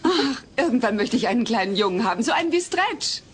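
Sensible, wry middle-aged wife, somewhat high and animated, for sitcom audio drama. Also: female voice, fast talker, slight background noise. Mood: wry, amused. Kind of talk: dubbed sitcom audio play acting.

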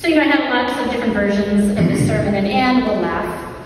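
A middle-aged woman giving a conversational, reflective sermon in a large church hall, gently inviting listeners and repeating phrases for emphasis. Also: mid-range voice, a little fast. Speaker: preacher. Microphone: distant camera in the audience. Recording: echoey hall from far off, some noise.

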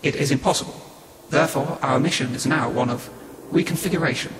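A man playing a calm, formal, all-knowing otherworldly being in a sci-fi audio drama. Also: on the high side, a little hiss or hum, natural voice. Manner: formal, measured, speaks as "we". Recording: echoey, music behind.

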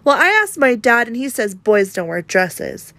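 A woman's voice: on the high side, very animated, normal speed, a bit bright. A woman voicing a bossy, scornful young schoolboy with a blunt manner in a storybook read-aloud. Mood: challenging.

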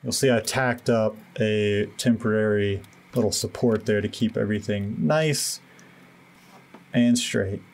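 A man in his thirties giving a relaxed, self-deprecating voice-over walkthrough of a home building project, with many fillers. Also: on the low side, unhurried. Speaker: DIY renovation vlogger.

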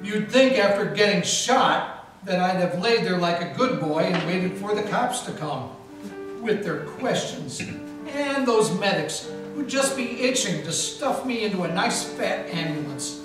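Male detective narrator for a live radio play: high-ish, hard-boiled, roomy and distant, with music under him. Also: animated, a little slow. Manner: wry, hard-boiled first-person storytelling. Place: historic mansion room with live audience and Christmas tree. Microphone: stand mic, filmed by a far camera. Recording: echoey room taped from far off.

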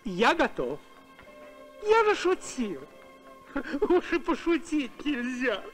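A man's voice: extremely high pitch, huge pitch swings, slow pace, faint music behind, full character voice. A man voicing a fat, cowardly, sly space pirate in a cartoon, with whiny theatrical delivery. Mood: wheedling.

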